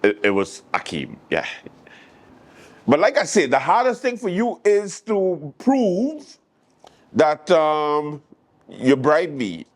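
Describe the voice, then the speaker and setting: A man's voice: a little high, huge pitch swings, slow pace, long pauses. A man with a Caribbean accent hosting a late-night show, giving casual news commentary and acting out quotes in a storytelling way.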